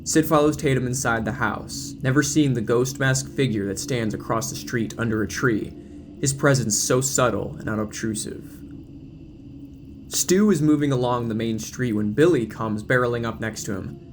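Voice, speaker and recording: A man's voice: medium pitch, normal speed, background noise. A man reading scripted, dramatic narration as the narrator of an audio drama.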